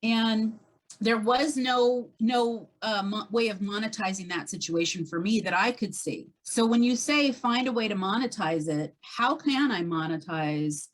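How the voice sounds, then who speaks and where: A woman's voice: medium pitch, unhurried, rough recording. A woman speaking casually as a real estate agent, laying out a case to a coach. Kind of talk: casual conversational case recounting.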